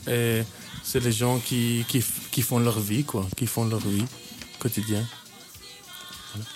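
A man's voice: medium pitch, flat delivery, unhurried, music in the background. A man in his twenties who grew up in Kenya and works as a youth worker, answering interview questions in a hesitant way, with frequent fillers and restarts. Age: 20s.

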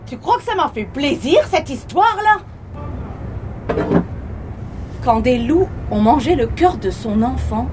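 An actress playing a blunt, self-doubting woman in her thirties, speaking in colloquial, halting phrases with bitter asides, recorded outdoors at a street show. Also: sits high, noisy background. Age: 30s. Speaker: stage actress. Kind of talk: street theatre monologue. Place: outdoors at a street arts festival.

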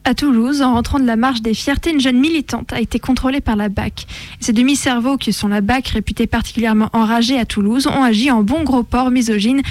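A woman's voice: on the high side, steady tone, a little fast, darkish tone, soft background music. A woman reading opinionated news commentary as a radio presenter, blunt, militant and slangy, on a studio microphone. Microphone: close studio microphone.

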